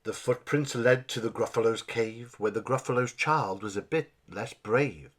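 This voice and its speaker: A man's voice: medium pitch, normal speed. A man reading a rhyming children's story as a dramatic narrator. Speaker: storybook reader.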